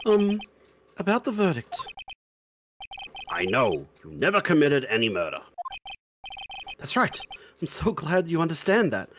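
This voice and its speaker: A man voicing a timid, excitable young male defendant who speaks in a halting, pleading way in a courtroom game fan dub. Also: a little high, noisy background. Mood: nervous. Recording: game music behind the voice.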